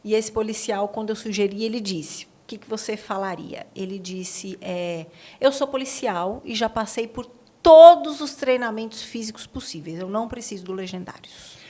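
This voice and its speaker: A woman's voice: mid-range voice, normal speed, mellow. A woman with a Brazilian accent, hosting a conversational interview and asking questions in an informal, engaged way. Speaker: podcast host; marriage therapist.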